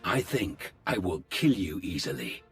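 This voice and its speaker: An actor playing a cold, vengeful Sith warlord in a video game, speaking deliberate, ominous threats. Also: male voice, low voice, sing-song, over music, heavily acted. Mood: cold menace. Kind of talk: dramatic video game villain acting.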